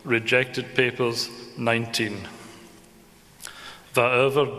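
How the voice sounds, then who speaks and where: A man reads out vote counts in a slow, measured official manner. His voice rings in a large hall with a long reverb tail. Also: medium pitch, a bit bright. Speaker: counting officer announcing results. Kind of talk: formal results declaration in Gaelic and English.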